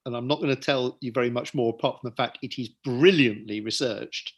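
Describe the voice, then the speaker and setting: Husky-voiced man chatting about his books. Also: mid-range voice, a little fast. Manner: chatty, self-deprecating, joking asides. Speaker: author and guest. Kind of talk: casual book-launch conversation.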